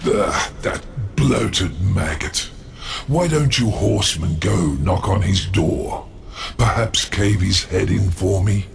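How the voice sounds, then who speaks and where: A man acting a sly, lordly demon schemer with a gravelly voice and condescending courtesy in a video game cutscene. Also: sits low, slow pace, a little hiss or hum, exaggerated voice. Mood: disgusted.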